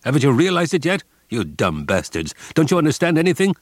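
Guy doing a screaming furious camp prisoner, voice cracking high, husky edge. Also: wildly swooping pitch, brisk, no room sound, clean studio sound. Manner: hysterical, snarling fury. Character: brutal male camp prisoner screaming at newcomers.

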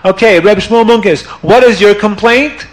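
Soft-spoken old rabbi judge, voiced by a male storyteller, measured. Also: high-pitched, voice pushed up, low-quality recording. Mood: judicial. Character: calm old rabbi, gentle wise judge.

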